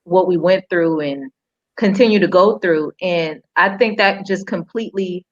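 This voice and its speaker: A woman's voice: lowish voice, normal speed, lo-fi sound. A woman with an American accent speaking casually as a panelist, in hesitant, conversational phrasing.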